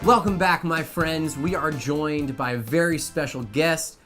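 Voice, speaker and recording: A man's voice: on the high side, unhurried, loud music behind the voice. A man hosting a late-night comedy talk show, reading mock news in a dry, mock-serious way and interviewing a guest.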